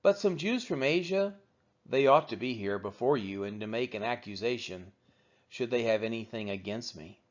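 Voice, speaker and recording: A man reading the Bible aloud as scripted narration, in a measured, expressive oratorical manner with deliberate phrasing. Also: mid-range voice, muffled recording. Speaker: scripture reader of a daily read-along.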